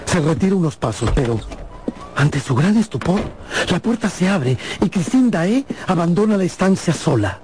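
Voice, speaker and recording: A man narrating a radio drama in a theatrical storytelling way, with deliberate pauses. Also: sits high, big pitch swings, noisy background. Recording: music behind the voice.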